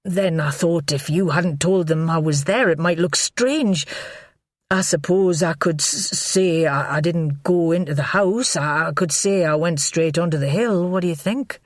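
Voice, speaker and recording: A woman's voice: fairly low pitch, measured pace, no echo, studio quality. A woman reading the part of a nervous, stammering middle-aged man in an audiobook. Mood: anxious. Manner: stammering, anxious. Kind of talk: audiobook character voice.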